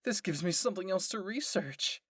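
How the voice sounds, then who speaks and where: A man voicing an excitable, nosy female military researcher in an anime fan story, gushing and emphatic. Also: high voice, moderate pace, breathy edge, dry recording, clean studio sound, pitched above the performer's own voice, heavily acted. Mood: eager curiosity.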